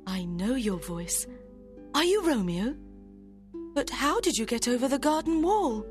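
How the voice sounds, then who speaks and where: A woman's voice: very animated, a little slow, faint music behind. Juliet, a lovestruck young girl. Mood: anxious. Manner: high, yearning and pleading. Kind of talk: audiobook drama reading.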